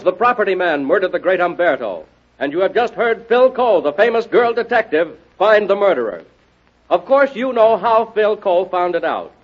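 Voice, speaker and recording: A man announcing in 1930s radio style, delivering an emphatic, exhortative promotional pitch. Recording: muffled vintage radio broadcast recording.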